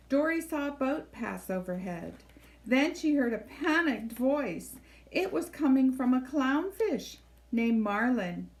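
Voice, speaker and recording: A woman reading a children's story aloud in an expressive, measured storytelling style, with deliberate phrasing. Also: fairly high pitch, low-quality recording. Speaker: library storybook reader. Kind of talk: expressive scripted read-aloud narration for children.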